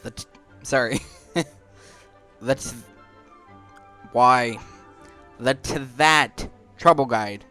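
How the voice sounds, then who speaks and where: A man's voice: medium pitch, extremely slow, long pauses, bright, forceful tone. A man giving casual game playthrough commentary, thinking aloud as he goes. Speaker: gaming video maker. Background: faint game music.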